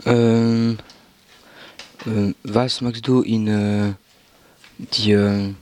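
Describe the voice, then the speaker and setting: A male student giving a halting classroom presentation, with many fillers and pauses as he searches for words. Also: fairly low pitch, little pitch movement, darkish tone. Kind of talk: classroom presentation in a learned language.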